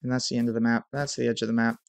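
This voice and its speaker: A man's voice: mid-range voice, level tone, very fast, creaky, no echo. A young man with a stuffy nose giving casual commentary on his gameplay over a headset mic in a small room at home. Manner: hyped intro, then muttered play-by-play. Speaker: gaming YouTuber.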